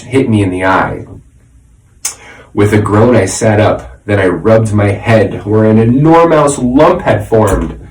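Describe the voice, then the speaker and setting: A man narrating a children's storybook in an expressive, dramatic way, like a teacher reading aloud. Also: on the low side. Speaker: reader, teacher. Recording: roomy echo, slightly distant mic.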